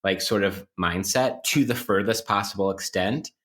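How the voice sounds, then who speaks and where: A man's voice: fairly low pitch, moderate pace, fairly bright tone. A man talking casually and analytically on a podcast, wry and academic, circling around his points as he explains. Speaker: podcast co-host playing interview guest.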